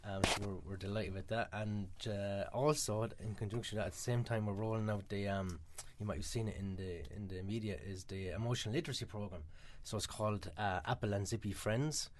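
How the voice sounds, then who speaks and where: This is an Irish man speaking as a guest on a radio interview. His voice sits a little low, and he talks fast and chattily, filling gaps with "um" and "uh". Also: steady tone, noisy background. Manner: quick, chatty, many "um" fillers. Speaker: guest from a mental health charity.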